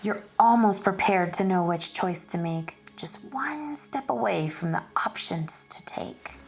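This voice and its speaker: A woman narrating for children in an expressive, deliberate and instructive read-aloud style. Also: mid-range voice, creaky. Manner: deliberate, instructive, addressing young listeners.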